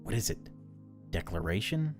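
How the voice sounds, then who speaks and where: Guy playing a grim brooding horror game narrator, low and solemn, music behind. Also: very animated, moderate pace. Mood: grim revulsion. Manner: solemn, dramatic reading. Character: brooding horror-novel narrator facing a loathed creature.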